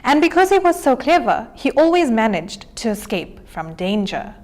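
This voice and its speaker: Female storybook reader, fairly high voice, animated delivery for children. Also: normal speed.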